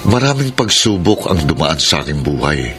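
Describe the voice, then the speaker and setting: A deep-voiced Filipino father just freed from prison. He is remorseful and tender with his family, speaking earnestly in radio drama style. Music plays clearly beneath him. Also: sing-song, normal speed. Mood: solemn, reflective. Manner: earnest, heartfelt. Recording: prominent music under the voice.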